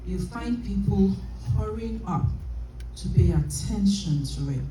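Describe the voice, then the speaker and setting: A woman's voice: medium pitch, a little slow, recorded from a distance, a little hiss or hum. Emphatic woman trainer in an echoey hall. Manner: animated, emphatic, laughs often. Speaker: business trainer. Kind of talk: motivational sales training talk. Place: large convention hall.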